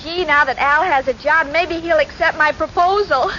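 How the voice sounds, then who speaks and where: An actress playing a naive, sweet, scatterbrained young secretary in 1940s radio comedy, with an earnest, hesitant manner. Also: female voice, high-pitched, moderate pace, natural voice. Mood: sweetly naive. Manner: earnest, dim, hesitant, muddles sayings. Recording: noisy, muffled 1940s radio recording.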